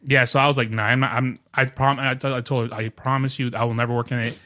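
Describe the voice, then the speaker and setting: A man in his thirties, Spanish his first language, chatting fast and jokingly as a podcast co-host. His voice is bright and forward, and the recording is dry and close. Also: mid-range voice, no echo. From New York. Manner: storytelling, joking, animated retelling. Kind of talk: casual chat.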